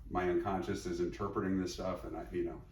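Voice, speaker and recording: A man's voice: deep voice, flat delivery, fast, darkish tone. A middle-aged man speaking as a psychologist and teacher in a reflective interview, thoughtful and rambling with many fillers and restarts. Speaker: psychologist and teacher, podcast guest. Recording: echoey room, mic at a distance, faint noise.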